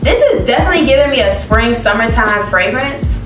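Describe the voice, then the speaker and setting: A woman's voice: a little high, fairly quick, recorded from a distance. A young woman casually reviewing perfumes on camera, reading out descriptions and reacting expressively. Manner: expressive, reads descriptions aloud, reacts with laughs. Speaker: beauty vlogger. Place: living room with plants, indoors. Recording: roomy echo, mic set back, music behind.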